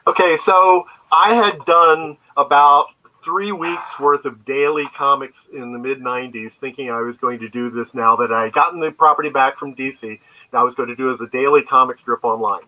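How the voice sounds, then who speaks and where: Male interview guest, mid-pitched and strikingly bright, halting conversational storyteller explaining his creative work. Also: rough recording. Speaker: guest, comic book creator. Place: remote online video call.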